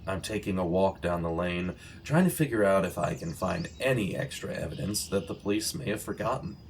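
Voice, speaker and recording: An actor playing an earnest, righteous former journalist investigating a killing in a radio drama. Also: male voice, low-pitched, lively intonation, brisk, creaky, slight background noise. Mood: puzzled, brooding. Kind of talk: audio drama acting in vintage radio manner. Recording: music laid under the voice. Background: plucked guitar music.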